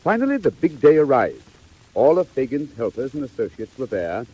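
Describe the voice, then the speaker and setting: A man's voice: mid-range voice, sing-song, normal speed, warm tone, husky, rough recording. A man giving scripted storybook narration in a 1940s audio drama. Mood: storytelling.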